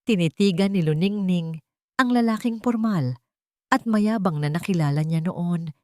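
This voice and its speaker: A woman reading a dramatic love story as a narrator, in emotive, measured phrases. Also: fairly low pitch, a little mellow, dry recording, studio quality.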